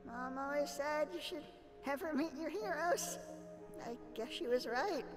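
A woman voicing a timid, earnest teenage boy who speaks hesitantly in an animated parody dub. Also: high voice, unhurried. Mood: dejected. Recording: music behind the voice.

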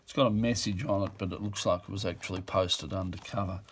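Male auctioneer, lowish voice, offhand musing delivery, fillers and self-corrections. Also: fairly even intonation, fast talker, a little hiss or hum. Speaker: auctioneer describing a lot. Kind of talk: casual off-the-cuff commentary. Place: indoors at a table.

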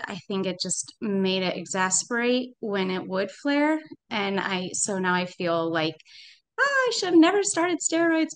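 A woman's voice: mid-range voice, a bit bright, clean tone. A woman in her thirties talking earnestly and thoughtfully about her family on a video call from home. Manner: earnest, thoughtful, trailing hedges. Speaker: parent in a program. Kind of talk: casual conversation. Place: home room.

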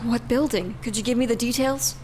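A woman's voice: on the high side, fairly quick, heavy background noise, close to an everyday voice. An actress playing a tough, curt veteran policewoman in an audio drama, terse and commanding. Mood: curt, businesslike.

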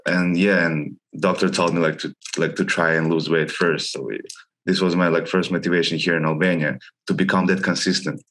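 A young man who grew up in Russia and China, talking as a guest over a headset on a video call. His voice sits low, and he tells his story casually, leaning on "like" with informal phrasing. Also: steady tone, a little fast, fairly bright tone. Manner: frequent "like", informal non-native phrasing. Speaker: guest, gym member. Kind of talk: casual interview chat.

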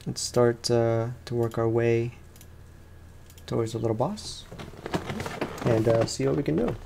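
A man's voice: medium pitch, measured pace, creaky, noisy background. A young man, sounding tired, gives casual let's play commentary as a gaming host, rambling along in slangy, informal speech.

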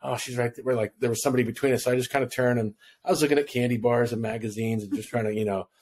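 A man's voice: mid-range voice, rapid-fire. Hawaii guy in his 50s, laid back, rambling story, acts out what people said, podcast mic. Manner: relaxed, rambling storyteller who acts out quotes. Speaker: guest. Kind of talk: personal storytelling in an interview. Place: home room on video call. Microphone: podcast mic in front of him.

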